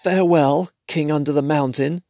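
A man's voice: a little high, animated, normal speed, vocal fry, dry recording, voice pushed up. A small, kindly hobbit frets and chides himself in a raised, light voice, as a man reads him aloud in a storybook. The sound is close and dry, with no room around it. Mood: sorrowful. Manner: fretful, self-deprecating. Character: small kindly male hobbit, anxious and gentle.

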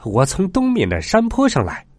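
Guy doing a storybook jackal, eager n kinda wistful, higher voice swooping around, music behind. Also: huge pitch swings, moderate pace, darkish tone, dry recording, clean studio sound. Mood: plain reporting.

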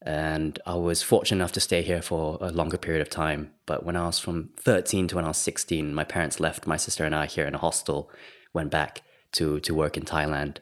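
Man in his 30s, very low voice, relaxed chatty podcast guest. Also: fairly quick. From Singapore. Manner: chatty and easygoing, with small laughs and hedges. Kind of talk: relaxed conversational storytelling.

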